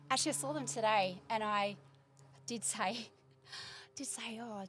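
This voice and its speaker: A woman in her forties with a New Zealand accent chats as a guest on a small studio podcast. She talks warmly and rambles as she recalls things, with plenty of casual fillers. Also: fairly high pitch, a little slow, a little hiss or hum. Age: 40s. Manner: warm, chatty, rambling recollection. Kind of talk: casual interview chat.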